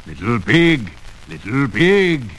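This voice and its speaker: A man's voice: sing-song, a bit bright, slight background noise. A man reading a storybook and voicing a sly, menacing wolf who drags out his words. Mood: sweet-talking. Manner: slow, drawn-out and booming.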